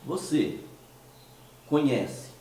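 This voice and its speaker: A man from Minas Gerais with a Mineiro Brazilian Portuguese accent, hoarse from a cold, hosting a conversational commentary monologue with hesitant restarts and rhetorical questions. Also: on the high side, drawn-out words, warm tone, very echoey, recorded from a distance, slight background noise. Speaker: host of a commentary show.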